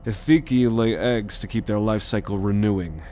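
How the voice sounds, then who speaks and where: A man performs a cocky alien rogue in an audio roleplay, his voice low and commanding. He talks with dry, sardonic confidence, like the leader of a mission. A noticeable bed of ambient noise runs behind him. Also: moderate pace, dark tone, natural voice. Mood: confident. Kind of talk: ASMR roleplay audio drama.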